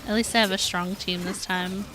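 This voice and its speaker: A woman's voice: medium pitch, fairly even intonation, moderate pace, fairly bright tone, slight background noise, poor audio. A woman game streamer giving chatty, informal, self-deprecating live commentary as she plays. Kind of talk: casual live gameplay commentary.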